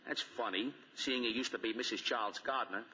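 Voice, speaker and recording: A businesslike police lieutenant with a very sharp, forceful voice. He talks fast and clipped in a 1940s radio mystery, with muffled, reverberant sound. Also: mid-range voice, slight background noise. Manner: matter-of-fact, clipped.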